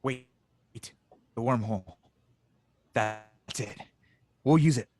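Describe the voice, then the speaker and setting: A man's voice: medium pitch, slow, darkish tone, a little hiss or hum, natural voice. A man playing an earnest, stubborn young inventor in a space audio drama, urgent and insistent. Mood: determined. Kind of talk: audio drama table read.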